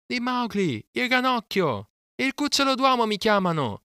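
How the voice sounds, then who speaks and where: A young jungle boy from a storybook. The voice leaps far up high into shrill, loud cries. It is urgent and bold, with a dry, close sound. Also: very animated, unhurried, clean tone, no echo, full character voice. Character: desperate young jungle boy calling for help.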